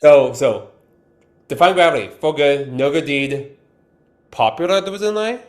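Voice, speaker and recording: A man's voice: a little high, expressive, moderate pace. A man guesting on a podcast, chatting casually and thinking aloud with eager curiosity. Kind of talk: casual chat.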